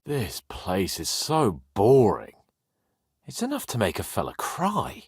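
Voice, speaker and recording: A man playing a spoiled, cocky young nobleman in a video game, flippant and theatrical, swinging between whiny and wry, with a husky voice. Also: lowish voice, wildly swooping pitch, slow, dry recording, studio quality, heavily acted. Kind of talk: video game character voice acting.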